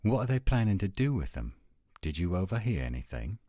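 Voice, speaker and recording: A man's voice: low-pitched, sing-song, fairly quick, dark tone, no room sound, clean studio sound. A man playing a testy, headstrong werewolf, terse and challenging, in an audio roleplay drama. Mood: tense, urgent. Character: testy, headstrong male werewolf, protective of his pack.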